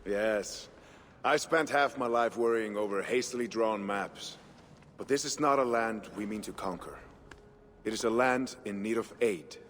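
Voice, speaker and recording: A man's voice: lowish voice, a bit bright. An actor playing a mature, gracious Norse king in a game cutscene, with stately, formal delivery. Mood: grave. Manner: stately, deliberate, formal phrasing. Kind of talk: dramatic video game cutscene acting. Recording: reverberant hall, faint background noise.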